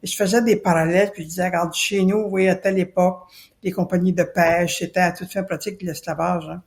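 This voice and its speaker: A woman's voice: on the low side, fairly even intonation, quick pace, darkish tone. A woman from New Brunswick, speaking earnestly as a podcast guest and repeating her key points for emphasis. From Acadia, New Brunswick. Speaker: guest, citizen committee spokesperson. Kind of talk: podcast interview.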